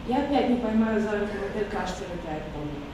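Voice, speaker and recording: A woman's voice: medium pitch, expressive, fast. A woman whose first language is Russian reads her own poem, speaking hesitantly and emotionally with many fillers. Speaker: poet reading her work. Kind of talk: poetry reading with spoken intro. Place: echoey hall, recorded from afar. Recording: distant, echoey, noisy room recording.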